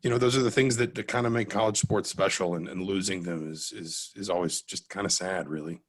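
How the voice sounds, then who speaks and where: A man's voice: deep voice, steady tone, brisk. An American man from Georgia answering as a guest sports writer, reflective and careful, often circling back mid-sentence. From Atlanta, Georgia, US. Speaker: guest sports reporter, newspaper beat writer. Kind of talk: interview answers, sports talk.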